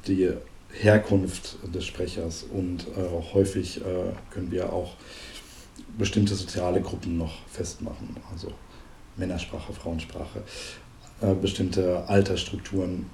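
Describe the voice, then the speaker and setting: A man from Thuringia with a light Thuringian regional accent, a linguist guest speaking haltingly with many fillers in an academic interview. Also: sits low, flat delivery, dark tone, reverberant, distant mic, slight background noise.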